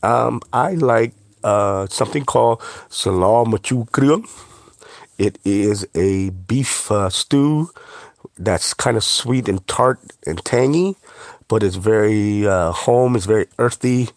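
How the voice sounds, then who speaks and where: Man, very low voice, middle-aged chef, Khmer first language, earnest, loose and filler-heavy speech, dry close sound. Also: takes it slowly, no room sound. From Cambodia. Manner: earnest, relaxed, many fillers. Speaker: chef and author. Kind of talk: interview conversation.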